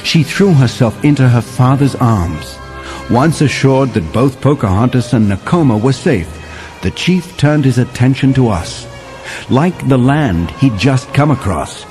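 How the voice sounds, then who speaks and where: Settler narrator for kids' read-along: very animated, vivid, dramatic pauses, clearly audible music underneath. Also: male voice, mid-range voice. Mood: warm telling. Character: male settler storyteller recounting a winter adventure to John. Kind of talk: dramatic children's story narration.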